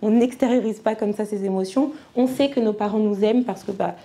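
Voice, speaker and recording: Mellow-voiced woman giving a testimony. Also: medium pitch, brisk. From France. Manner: conversational, many fillers and restarts. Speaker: psychologist giving a church testimony. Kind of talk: personal testimony to a church audience.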